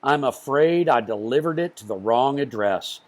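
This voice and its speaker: Man reading as a worried storybook postman, very animated pitch. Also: moderate pace, lightly acted. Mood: apologetic. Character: worried, apologetic male small-town postman.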